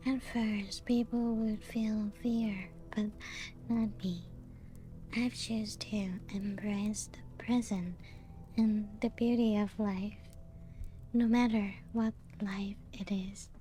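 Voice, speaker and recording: Woman playing a very slow, soft-spoken vampire lady. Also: mid-range voice, steady tone, slight background noise, lightly acted, low-quality recording. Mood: serene, welcoming. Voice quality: soft, breathy, often half-whispered. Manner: slow, unhurried, gently lilting storytelling. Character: genteel, serene, playful ancient female vampire castle host. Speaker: ASMR roleplay performer. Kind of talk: soft-spoken ASMR roleplay. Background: music.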